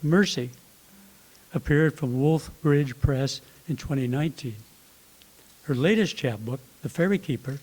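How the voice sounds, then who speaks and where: A man with a fairly high voice hosts a poetry series at a library. He reads out a formal introduction of the guest poet at a measured pace. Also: darkish tone, low-quality recording. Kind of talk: reading a prepared introduction. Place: library event room.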